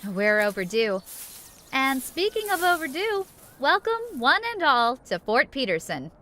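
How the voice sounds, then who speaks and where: A woman's voice: high-pitched, big pitch swings, a little slow, fairly bright tone, slight background noise, full character voice. A woman playing an easygoing, diplomatic ranger sergeant in an audio drama, friendly and casual. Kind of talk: post-apocalyptic audio drama acting.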